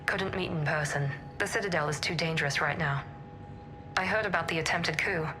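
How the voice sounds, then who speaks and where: A woman's voice: low voice, fairly quick, music in the background, natural voice. A woman playing a guarded, determined operative on the run in a video game, controlled and intense.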